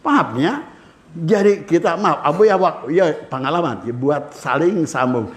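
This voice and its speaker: Older male cleric, Sundanese accent, slightly high voice, lively teaching tone. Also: measured pace. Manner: lively, switching between languages, acting out anecdotes. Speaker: Islamic cleric. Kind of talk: religious lecture to students.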